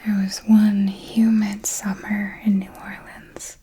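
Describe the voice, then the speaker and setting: A woman whispering an ASMR roleplay as a darkly elegant, possessive vampire mentor, telling it in a deliberate, theatrical way. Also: mid-range voice, level tone, warm tone, low-quality recording. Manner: slow, deliberate, theatrical storytelling.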